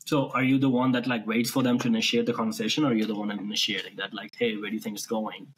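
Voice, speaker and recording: A man's voice: on the low side, flat delivery, quick pace, a little hiss or hum, low-quality recording. A man in his late twenties hosting a podcast, asking probing follow-up questions in a casual chat. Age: late 20s. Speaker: podcast host.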